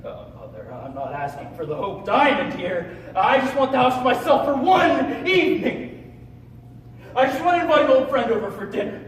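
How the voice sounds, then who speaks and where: Teen guy doing a needy unhinged mama's boy monologue, high voice swinging all over, halting and theatrical. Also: very animated. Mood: pleading. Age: teens, high school senior. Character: unhinged, needy grown man resentful of his domineering mother. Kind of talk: dramatic monologue, stage speech-contest performance. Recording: distant, echoey, noisy hall recording.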